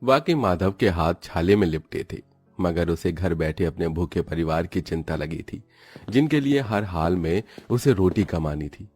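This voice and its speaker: A man narrates a scripted story in a very low voice. The sound is dry, with soft music underneath. His delivery is that of a dramatic storyteller. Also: expressive, measured pace, breathy edge, dry recording.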